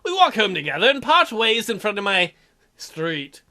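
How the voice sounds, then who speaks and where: A man's voice: sits high, sing-song, normal speed, pushed and bright. A man hosting a gaming video, reading narration aloud and adding joking asides. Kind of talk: narrating and joking through a visual novel.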